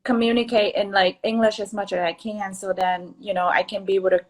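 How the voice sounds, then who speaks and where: A woman's voice: medium pitch, flat delivery, a little fast. A woman with a Vietnamese accent, whose first language is Vietnamese, chatting earnestly in a casual podcast conversation.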